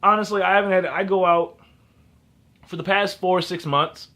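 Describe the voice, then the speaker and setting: A man's voice: high-pitched, fairly even intonation, normal speed, sharp tone. Earnest rambling young man vlogging. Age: early 20s. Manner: rambling, with frequent restarts and repeats; earnest, advice-giving. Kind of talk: casual motivational vlog.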